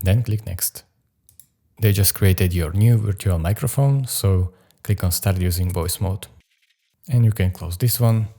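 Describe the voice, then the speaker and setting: A man hosting a software tutorial, narrating instructions step by step. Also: low-pitched, unhurried. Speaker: tutorial host.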